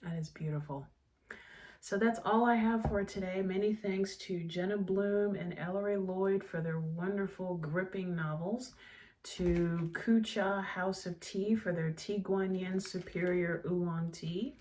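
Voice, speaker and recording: A woman with a stuffy, nasal voice from a cold, hosting a tea vlog in a gentle, savoring way and leading a guided breathing meditation. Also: lowish voice. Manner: gentle, savoring, softly enthusiastic. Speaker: tea and book channel host.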